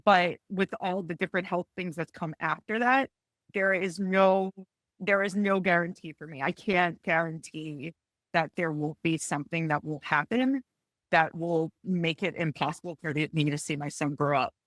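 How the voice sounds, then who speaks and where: Female, early 40s, lowish voice, dry sound, halting and candid personal testimony. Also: a bit bright, no echo. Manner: candid, halting, circles back and repeats phrases. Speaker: panelist, cancer patient and mother. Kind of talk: personal testimony in a panel discussion.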